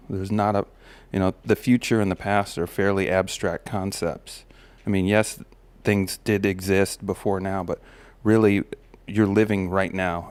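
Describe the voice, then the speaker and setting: Man, low steady voice, smooth with slight gravel, reflective host talking, dry close sound. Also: no echo. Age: adult parent of a daughter. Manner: relaxed, musing, many fillers and restarts. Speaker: podcast host. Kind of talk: reflective personal monologue.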